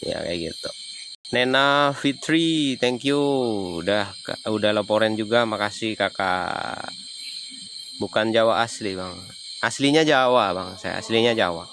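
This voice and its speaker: Man with a Javanese accent, low voice, relaxed streamer chatting to viewers, faint night ambience. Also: unhurried, a little hiss or hum. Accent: Javanese (Banyumas). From Banyumas, Central Java. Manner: chatty, answers viewer comments. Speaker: livestreaming explorer. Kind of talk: casual livestream chat.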